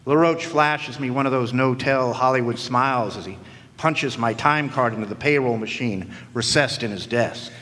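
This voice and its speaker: A wisecracking hardboiled private eye, played by a man narrates in a dry, sardonic drawl. His mid-pitched voice rings with long reverb from a hall, as in a live radio play. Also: normal speed, fairly bright tone, close to an everyday voice. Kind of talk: 1940s-style noir radio acting. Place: live stage performance in a hall.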